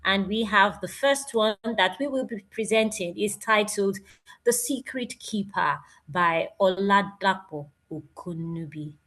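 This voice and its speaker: Nigerian woman presenting, animated and emphatic. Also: medium pitch, a little slow, rough recording. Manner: animated, emphatic, with exclamations and repetition. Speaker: presenter at an online graduation event. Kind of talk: informal spoken presentation.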